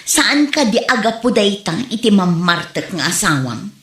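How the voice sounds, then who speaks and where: A woman's voice: medium pitch, sing-song, normal speed, lightly acted. A woman acting a concerned, plain-spoken older village woman who reproves and advises in a comedy drama. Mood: concerned advice. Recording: echoey room.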